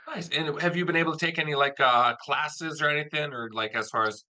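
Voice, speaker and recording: A man's voice: a little high, fairly quick, pushed and bright. A man hosting a casual interview, chatting as the producer of an audio drama.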